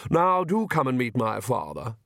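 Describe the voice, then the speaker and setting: A man's voice: mid-range voice, wildly swooping pitch, normal speed, gravelly, a bit bright, no room sound, exaggerated voice. A man voicing a suave young aristocratic vampire in an audiobook, courtly, drawling and condescending. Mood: smugly polite. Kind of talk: audiobook character voice.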